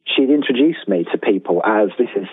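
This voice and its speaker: A man's voice: lowish voice, lively intonation, brisk, breathy edge, dry recording. A middle-aged man talking casually as an interview guest, rambling, self-deprecating and enthusiastic, over a thin phone-like line.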